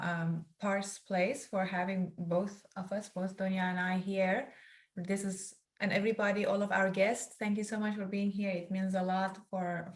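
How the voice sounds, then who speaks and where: A young woman from northeast Iran, an author introducing herself and her book as a guest, earnest and grateful, speaking in quick bursts over a video call. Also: medium pitch. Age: young adult.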